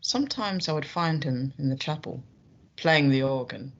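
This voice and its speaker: A woman plays a reminiscing medical school dean for a radio mystery. The voice is strikingly deep for a woman, with a dry delivery. The audio is slightly dull. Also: expressive, normal speed. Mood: reminiscing, guarded. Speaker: radio drama actor. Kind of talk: radio play acting.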